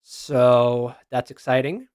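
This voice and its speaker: A man's voice: mid-range voice, fairly even intonation, takes it slowly, a little mellow, clean tone, dry recording, studio quality. A man giving casual, enthusiastic reaction commentary as a gaming video creator, with slangy exclamations.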